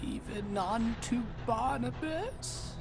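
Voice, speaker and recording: A woman's voice: on the high side, takes it slowly, music in the background. A woman playing a sly, playful predator who serves a dragon, teasing and theatrical in a fantasy audio drama. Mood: teasing, gleeful. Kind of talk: fantasy audio roleplay acting.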